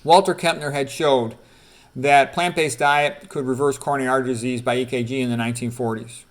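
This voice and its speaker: A man's voice: medium pitch, steady tone, fairly quick. A middle-aged or older man, a doctor, reading quotes aloud with informal commentary and repeating key phrases for emphasis. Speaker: doctor presenting quotes from a book.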